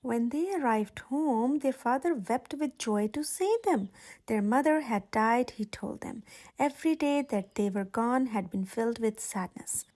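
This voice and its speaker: High-pitched woman reading a children's story. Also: measured pace, a little mellow. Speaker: storyteller, channel host. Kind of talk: children's picture book read-aloud.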